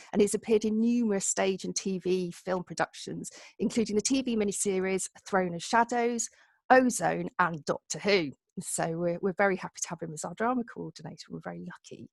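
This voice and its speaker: A woman's voice: mid-range voice, creaky, no room sound. A woman chairing an online gathering on a video call, chatty and encouraging as she gives an informal welcome. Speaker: meeting host, society chair.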